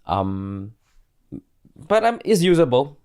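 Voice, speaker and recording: A man's voice: medium pitch, sing-song, takes it slowly, mellow, smooth. A man in his late thirties with a Singaporean accent, speaking candidly as an interview guest and often searching for his words.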